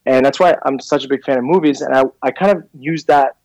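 A man's voice: medium pitch, fast talker, gravelly, a little mellow, no room sound, poor audio. A man in his thirties from Canada, a clothing brand founder, telling his story in a casual interview with many fillers and restarts. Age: 30s.

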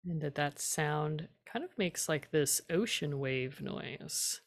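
A woman leading a guided meditation as a teacher, speaking in a calm, unhurried way with gentle instruction and long pauses. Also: deep voice.

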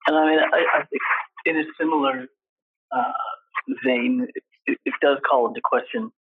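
Husky man on a phone-like line. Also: fairly high pitch, steady tone, no echo. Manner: halting, deliberate, repeats words as he thinks. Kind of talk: reflective conversation. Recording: thin, phone-like narrow band sound.

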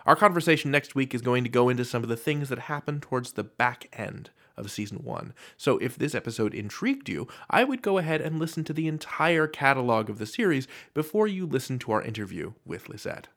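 A man's voice: medium pitch, very animated, brisk, few pauses, a bit bright. A man hosting a podcast from a script, with a playful, theatrical delivery. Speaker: podcast host.

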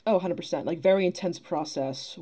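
A woman's voice: on the low side, brisk, mellow, slightly rough. A young woman chatting casually as a podcast guest, reflective and articulate, explaining things at length. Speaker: podcast guest, theatre producer and actor. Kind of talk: casual interview chat.

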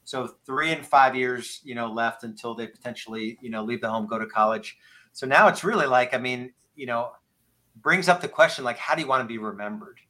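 A man around fifty hosting a casual podcast interview, speaking in an earnest, rambling and halting way. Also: medium pitch, bright, forceful tone. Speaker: podcast host.

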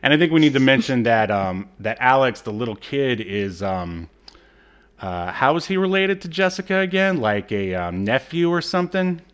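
A man co-hosting a podcast, casually recapping a show with a hesitant, halting delivery. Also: medium pitch, sing-song, fairly bright tone, clean tone. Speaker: podcast co-host. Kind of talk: casual chat, recapping a TV episode.

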